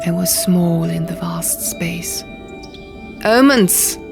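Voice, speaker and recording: A woman reading a fantasy audiobook dramatically in the first person, in hushed, deliberate short phrases, over music and sound effects. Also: sits low, fairly even intonation, vocal fry. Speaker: audiobook narrator.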